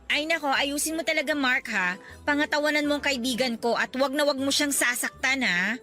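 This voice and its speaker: A chatty, frank best friend. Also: female voice, sits high, brisk, sharp tone, soft background music. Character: young woman, frank protective best friend. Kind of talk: radio drama acting.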